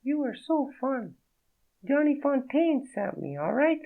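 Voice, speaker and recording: A coy, tipsy young Frenchwoman with a French accent, slurring a little in a high, airy register far above the reader's own, as a male audiobook narrator puts her on. The sound is thin and tinny, like a phone line. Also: sing-song, a little slow, gravelly, full character voice. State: drunk, slurring.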